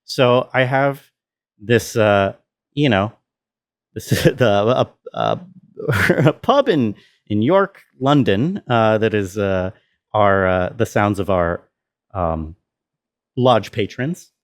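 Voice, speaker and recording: A man giving a casual tutorial walkthrough as a sound designer, thinking aloud in a halting way. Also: lowish voice, animated.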